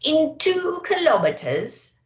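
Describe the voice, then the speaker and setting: A woman's voice: high-pitched, very animated, takes it slowly, pitched above the performer's own voice, heavily acted. A woman voicing a bossy female sat-nav in a children's storybook, giving clipped instructions. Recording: roomy echo.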